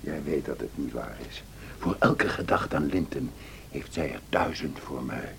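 An actor playing a brooding, vengeful, passionate lover in a Dutch radio drama, intense and theatrical, by turns scornful and pleading. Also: male voice, rumbling low, moderate pace, gravelly, fairly bright tone, exaggerated voice. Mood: scornful, furious. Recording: noisy old radio play recording.

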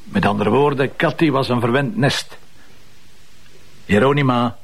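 A man's voice: medium pitch, unhurried, breathy edge. A man playing a brusque, wry police commissioner and doting father in a Flemish TV drama, colloquial and impatient. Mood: probing, sardonic. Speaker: actor in a TV police series. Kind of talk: naturalistic TV drama dialogue.

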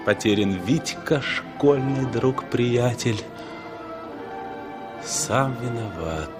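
An actor playing a lonely, disillusioned traveller in a 1960s radio drama, speaking in measured, declamatory phrases. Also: male voice, medium pitch, expressive. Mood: weary, resigned. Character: weary, disillusioned male traveller, brooding and lonely. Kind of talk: 1960s radio drama acting, verse-like interior monologue. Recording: 1960s radio play recording with music. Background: music.

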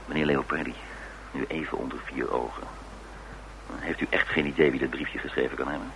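A man's voice: very deep voice, steady tone, fairly quick. A man acting a cool, dogged detective in a radio drama, questioning in a terse, probing and dryly formal way. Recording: noisy old radio drama recording.

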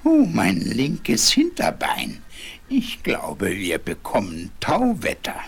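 An actor playing a grumbling, wistful old chained dog with a husky voice in a children's fairy-tale audio drama. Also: mid-range voice, wildly swooping pitch, slow, full character voice. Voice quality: husky, lost his fine voice.

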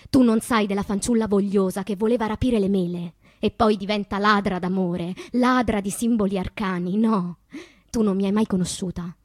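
An actress playing a passionate, wounded woman poet confessing her loves in a theatrical, poetic radio monologue, moving between hushed and impassioned delivery. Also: mid-range voice, steady tone, moderate pace, no room sound, studio quality, close to an everyday voice. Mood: intimate, bitter.

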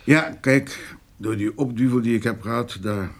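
An actor playing an older farmer in a radio drama, jovial and scheming, with a hesitant, coaxing way of talking. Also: male voice, mid-range voice, normal speed, natural voice. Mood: confiding. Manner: hesitant, wheedling, full of small interjections. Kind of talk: naturalistic radio drama dialogue.

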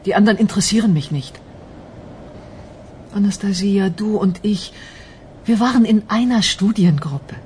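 Actress as middle-aged female office worker under suspicion, chatty, mocking, medium pitch, radio crime drama with faint background noise. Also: moderate pace, long pauses, darkish tone, lightly acted. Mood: indignant, defensive. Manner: chatty, mocking, indignant when pressed.

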